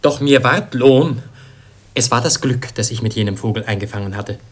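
An actor playing a proud, impetuous young exiled prince in a verse radio play, with husky, declamatory delivery. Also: male voice, medium pitch, moderate pace, close to an everyday voice. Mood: fervent, vengeful. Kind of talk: classical German radio play acting in verse.

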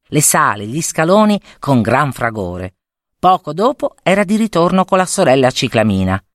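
Expressive woman reading a fairy tale. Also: low voice, no room sound, studio quality. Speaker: storyteller.

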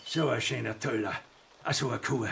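An actor playing an insolent, falsely servile rural servant with an Austrian accent and a gravelly, husky voice in a radio drama. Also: male voice, mid-range voice, moderate pace, fairly bright tone, exaggerated voice. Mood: mocking, falsely servile.

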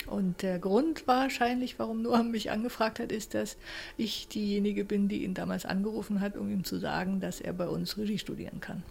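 A woman's voice: mid-range voice, fairly even intonation, moderate pace, creaky, darkish tone, husky. A woman who teaches directing, introducing herself in an office for a documentary radio piece. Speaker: drama school directing lecturer. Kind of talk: spoken self-introduction for a documentary radio play.

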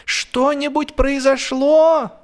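A man voicing a chirpy, scatterbrained young lady in a comic audiobook, with naive, drawn-out, airy exclamations. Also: extremely high pitch, level tone, voice pushed up. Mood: puzzled.

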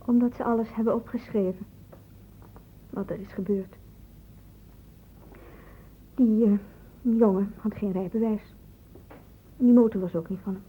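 A woman's voice: medium pitch, fairly even intonation, a little slow, long pauses, breathy edge. An actress playing a guarded, evasive teenage girl in a radio drama, giving hesitant, defensive answers. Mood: defensive, uneasy. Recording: noisy, dull lo-fi old radio recording.

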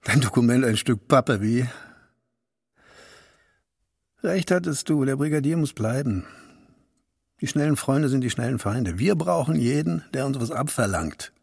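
An actor playing a stern, lecturing party secretary in a radio play, speaking in biting, declamatory slogans. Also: male voice, medium pitch, expressive, normal speed, gravelly, darkish tone, breathy edge, dry recording, studio quality. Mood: insistent, conceding. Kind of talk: stylised radio play dialogue.

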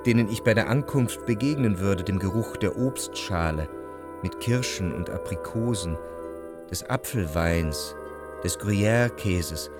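An actor playing a sensitive, refined, melancholic narrator in a literary radio drama, speaking in a measured, lyrical way. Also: male voice, sits low, lively intonation, over loud music. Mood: dreamy, savouring. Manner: measured, reflective, lyrical delivery.

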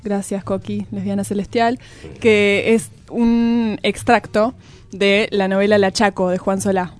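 Female co-host, Argentine accent, medium pitch, casual bantering radio talk. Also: steady tone, unhurried, darkish tone, faint music behind.

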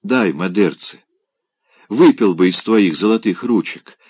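A man's voice: on the low side, lively intonation, a little slow, dry recording, pitched above the performer's own voice. A man voicing a drunken, pompous old clerk who wails in churchy old-fashioned phrases. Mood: weepy. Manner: churchy archaic phrasing, wailing.

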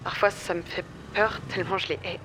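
A woman's voice: lowish voice, steady tone, a little fast, fairly bright tone, a little hiss or hum. Woman acting self-assured young rebel woman. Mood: bitter. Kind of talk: audio drama acting.

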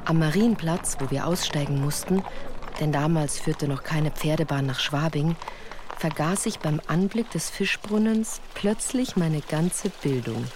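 A woman's voice: low voice, measured pace, background noise. A woman reading scripted literary narration as the narrator of a radio play. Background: music.

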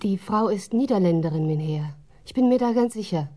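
A woman's voice: medium pitch, expressive, brisk, warm tone, lightly acted. An actress playing an observant, confident policewoman in a German radio crime drama, speaking in an assured, matter-of-fact way.

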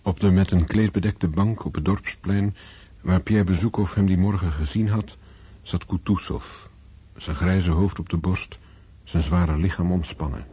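A man's voice: deep voice, steady tone, a little slow, breathy edge, no room sound, dull audio. A man giving scripted, dramatic narration as the narrator of a radio drama. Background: loud music.

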